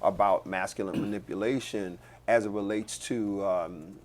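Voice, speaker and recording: A middle-aged man, a pastor hosting a casual discussion, talking in an animated way and restarting his phrases often. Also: lowish voice, normal speed. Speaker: host and pastor.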